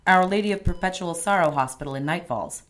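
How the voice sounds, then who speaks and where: A woman plays a prickly, down-to-earth ally in a radio drama. Her voice sits a little low. She speaks bluntly, with wry scepticism. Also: fast, natural voice.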